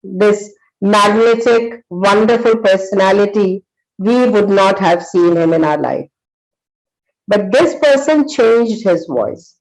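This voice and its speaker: A woman in her sixties with an Indian accent, giving a motivational talk as a psychologist. She tells stories earnestly, with many pauses and fillers. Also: mid-range voice, darkish tone, rough recording.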